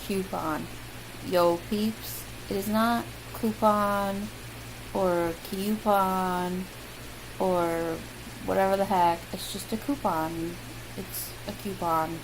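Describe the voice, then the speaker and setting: Chatty Midwestern woman, gravelly, hissy recording. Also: medium pitch, slow pace. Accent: Midwestern American. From American Midwest. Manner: chatty, self-deprecating, lots of ums. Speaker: vlogger. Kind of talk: casual accent challenge. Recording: loud steady hiss.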